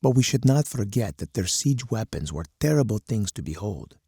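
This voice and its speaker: Hawkish game colonel: low, gravel-throated and husky, stern, measured, uncompromising strategist. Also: male voice, very animated, mellow, no room sound, studio quality, exaggerated voice. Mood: resolute. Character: uncompromising hawkish male army colonel, grim military strategist. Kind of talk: scripted game voice lines.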